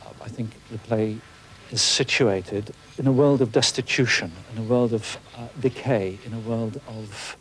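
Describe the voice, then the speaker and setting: A middle-aged man, a theatre director, reflecting in an interview with literary phrasing, searching for words. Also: medium pitch. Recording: faint background noise.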